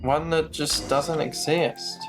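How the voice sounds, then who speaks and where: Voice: mid-range voice, steady tone, slow. A game streamer giving casual live commentary in blunt asides. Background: faint game music.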